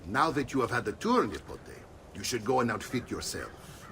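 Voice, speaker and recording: An actor playing a jovial, blustering middle-aged Italian uncle in a video game, with an Italian accent and a hearty, theatrical manner. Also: male voice, medium pitch, very animated, moderate pace, gravelly, fairly bright tone, a little hiss or hum. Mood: genial. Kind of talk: video game cutscene acting.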